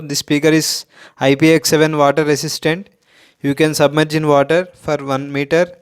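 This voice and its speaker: A man's voice: a little high, smooth. A man giving an unscripted product demonstration, explaining plainly step by step in short, simple phrases. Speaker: product reviewer.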